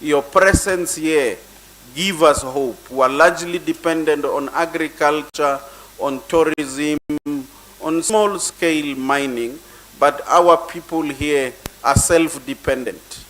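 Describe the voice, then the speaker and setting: A man, a local councillor, giving an earnest formal welcome speech in short measured phrases. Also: a little high, a bit bright, slight background noise, poor audio.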